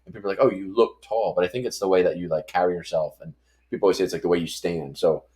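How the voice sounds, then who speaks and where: Forty-year-old man, podcast co-host, low voice, rapid rambling self-deprecating talk. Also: steady tone, darkish tone. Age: 40s. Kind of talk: casual chat.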